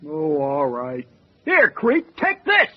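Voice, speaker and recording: A man's voice: on the high side, huge pitch swings, slow pace, exaggerated voice. A man playing a dim, menacing, thuggish barroom bruiser in a comic radio play. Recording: muffled sound with faint noise.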